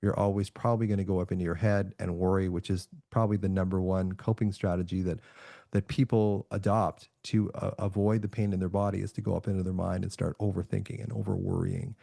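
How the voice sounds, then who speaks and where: A man from Canada, a podcast guest and author, speaking calmly and reflectively, with a soothing, soft-spoken manner. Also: low voice, fast talker, gravelly, no echo, clean studio sound. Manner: calm, reflective, soft-spoken with frequent restarts. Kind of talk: relaxed remote podcast interview.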